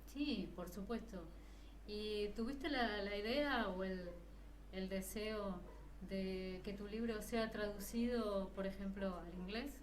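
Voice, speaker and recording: A woman's voice: medium pitch, slow pace, slight background noise. A woman co-hosting an interview, asking questions hesitantly with filler sounds. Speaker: co-host.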